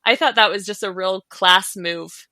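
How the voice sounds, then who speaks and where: A woman's voice: medium pitch, a little slow, pushed and bright, dry recording, clean studio sound. A woman co-hosting a podcast, speaking in casual chat. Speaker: podcast co-host.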